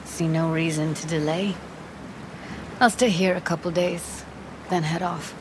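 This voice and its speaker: Low-voiced world-weary young city woman, played by an actress. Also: steady tone, measured pace, background noise, lightly acted. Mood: tender, resigned. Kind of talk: video game voice acting.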